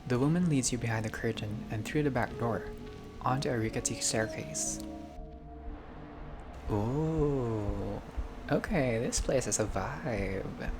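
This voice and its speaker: A game streamer with a mid-pitched voice reads visual novel narration aloud over clearly audible music. The delivery is expressive, slipping between storytelling and casual, chatty commentary. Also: takes it slowly. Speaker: gaming content creator reading a visual novel aloud. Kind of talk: playthrough narration with casual reactions. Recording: music behind the voice.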